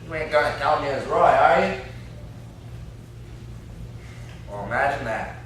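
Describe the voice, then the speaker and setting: A man's voice: a little high, lively intonation, brisk, a bit bright. A young man playing an indignant, blustering country captive in an amateur stage play, with a folksy, complaining, threatening way of talking. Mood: uneasy, blustering. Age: young adult. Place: classroom-like room, indoors. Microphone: far camera. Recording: echoey room, distant camera mic, faint noise.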